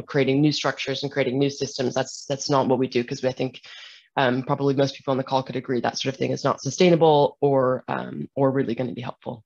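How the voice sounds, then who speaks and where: A woman's voice: rumbling low, creaky, no room sound. Young woman, dry muffled video call. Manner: thoughtful, many fillers. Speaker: panelist. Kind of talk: online panel answer. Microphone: headset on video call.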